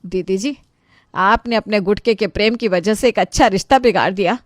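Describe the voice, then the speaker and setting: A woman's voice: medium pitch, normal speed, no room sound, clean studio sound, natural voice. A woman voicing an exasperated housewife in a storybook tale, firm and reproachful. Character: exasperated, determined housewife and sister-in-law.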